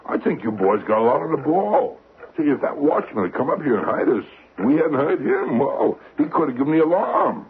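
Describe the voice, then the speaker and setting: A man playing an easygoing, apologetic burglar boss in old-time radio drama, genial and rambling with rough grammar. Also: a little high, sing-song, fairly quick, full character voice. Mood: genial, practical. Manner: genial, rambling, mock-polite, uneducated grammar. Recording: narrow-band, hissy old radio broadcast.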